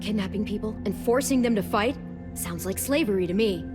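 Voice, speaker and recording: A woman's voice: mid-range voice, a little fast, natural voice. A woman playing a tough, sardonic teenage girl survivor in a video game, bluntly challenging her captor. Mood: defiant, sardonic. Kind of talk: dramatic video game acting. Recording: music behind the voice.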